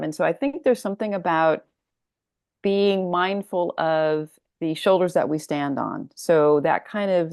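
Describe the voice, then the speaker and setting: A woman with a low voice and plenty of vocal fry speaks as an author guest in an interview. She is thoughtful and conversational, expressively stretching out key words, in a very dry recording. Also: no echo.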